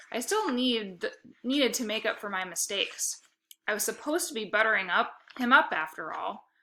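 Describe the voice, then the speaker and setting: A woman reading a children's story aloud in an expressive, emphatic narrating style. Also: medium pitch, slight background noise. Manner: animated, emphatic dialogue.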